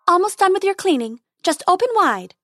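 A woman's voice: very high-pitched, big pitch swings, fairly quick, a bit bright, dry recording, studio quality, faint music behind, pitched above the performer's own voice, full character voice. A woman voicing a kind, capable tooth fairy dentist in a storybook, with a light, polite manner. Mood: cheerful. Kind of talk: storybook character voice.

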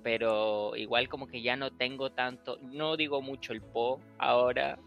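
A man's voice: mid-range voice, fairly even intonation, a little slow, gravelly, dull audio, soft background music. A young man from Chile chatting casually as a podcast host, acting out old conversations and laughing often. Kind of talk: casual chat.

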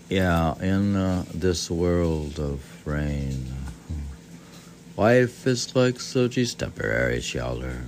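An older man reading aloud and adding rambling, reflective commentary in a halting, musing way, as if talking to himself. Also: deep voice, a little mellow. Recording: faint background noise.

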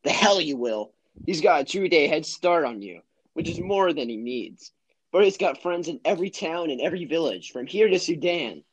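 A man's voice: fairly high pitch, expressive, moderate pace, slightly rough, no room sound, voice pushed up, rough recording. A man playing a boastful, confident adventurer, speaking emphatically in an amateur film script reading. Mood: confident boasting. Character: boastful male adventurer confidently bluffing about his friend.

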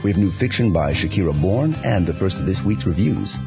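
A man presenting a scripted poetry podcast and reading verse in a measured, deliberate way. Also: low voice, lively intonation, creaky. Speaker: podcast host and poetry reader. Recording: slightly dull, music behind.

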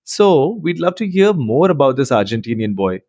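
Husky-voiced effusive podcast host. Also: male voice, medium pitch, sing-song, normal speed, darkish tone, no echo, clean studio sound. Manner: effusive, enthusiastic introductions. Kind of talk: interview hosting.

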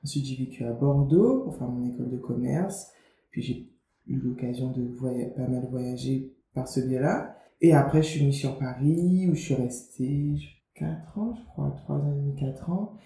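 Dark-voiced young woman chatting in a room. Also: very deep voice, normal speed, gravelly. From Guadeloupe. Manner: chatty, lots of fillers and restarts. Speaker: author and media founder, guest. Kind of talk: casual interview talk. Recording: roomy, faint background noise.

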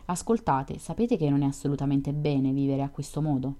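A woman's voice: rumbling low, steady tone, a little fast, dark tone. A woman voicing an earnest, tender young girl who speaks with anxious, imploring urgency in a storybook reading.

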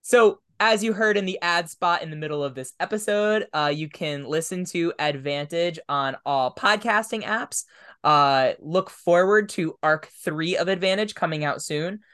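A man's voice: on the high side, moderate pace, pushed and bright, clean tone. A man hosting a podcast interview in a casual, conversational style.

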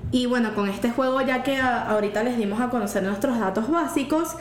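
A woman's voice: a little high, a little fast. A woman in her twenties with a Venezuelan accent, co-hosting a casual podcast chat, upbeat and laughing, mixing in English phrases. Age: 20s. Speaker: podcast co-host. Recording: echoey room with faint noise.

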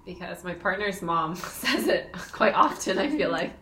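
A woman's voice: fairly low pitch, expressive, normal speed, pushed and bright, reverberant. A woman from Canada in casual chat, bashful and self-conscious, laughing often. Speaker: co-host.

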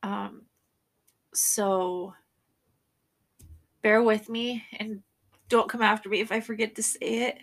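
Congested nervous woman with creaky voice. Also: medium pitch, fairly even intonation. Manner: nervous, many fillers and drawn-out vowels; laughs between sentences. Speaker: podcast host. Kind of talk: casual podcast chat.

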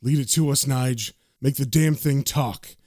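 A man's voice: measured pace, a little mellow, no echo, studio quality, close to an everyday voice. A man voicing a cynical, hard-bitten male occultist in a comic-book horror story, bossy and slangy. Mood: angry, commanding. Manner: low, sardonic, slangy, bossy.

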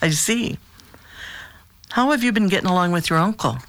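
A woman playing a calm, patient therapist who asks probing questions in a measured, formal way in an audio drama. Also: lowish voice, no room sound.

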